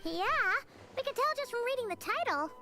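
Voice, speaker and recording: A woman voicing a small, curious, chatty childlike companion creature in a video game, with a squeaky voice. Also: animated, brisk. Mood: surprised. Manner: inquisitive, exclamatory. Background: game music.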